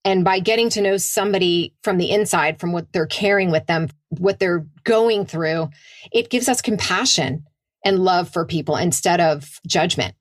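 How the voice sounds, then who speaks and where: A woman co-hosting a podcast, excitable in casual chat, swearing freely and laughing. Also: fairly low pitch, normal speed, no echo, studio quality. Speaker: podcast co-host.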